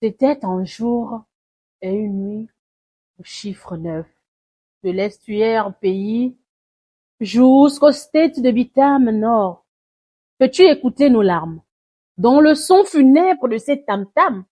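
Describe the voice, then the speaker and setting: A woman recites a poem dramatically, in a solemn, mournful and deliberate way, swelling and hushing her phrases. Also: a little high, animated. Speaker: poetry reciter. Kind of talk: dramatic spoken-word poetry recitation.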